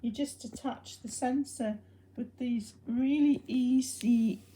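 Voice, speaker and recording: Halting, mellow, higher-voiced woman. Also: fairly even intonation. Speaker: presenter of a hobby electronics project video. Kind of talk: informal unscripted demonstration.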